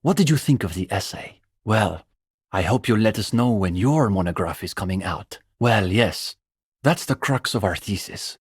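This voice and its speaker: A man's voice: fairly low pitch, expressive, moderate pace, husky, no echo, clean studio sound. An actor playing an earnest young male student communist in a video game, intellectual, opinionated and dryly pedantic. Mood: self-assured, bookish. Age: young adult. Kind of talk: video game dialogue voice acting.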